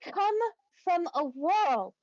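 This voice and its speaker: A woman voicing a small, solemn rodent teacher who speaks in measured, formal, fatherly phrases in a tabletop game. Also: extremely high pitch, big pitch swings, darkish tone, no echo, dull audio, pitched above the performer's own voice, exaggerated voice. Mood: solemn, regretful.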